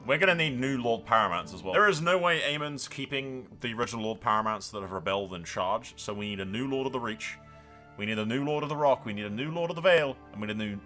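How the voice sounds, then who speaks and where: A man giving casual, excited gameplay commentary as a streamer, with sweary, theatrical banter. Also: mid-range voice. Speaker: game streamer narrating a strategy role-play. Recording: music behind the voice. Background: music.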